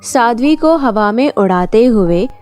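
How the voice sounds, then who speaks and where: A woman's voice: on the high side, animated, a little slow, darkish tone, faint music behind. A woman narrating a scripted children's fairy tale as a storyteller.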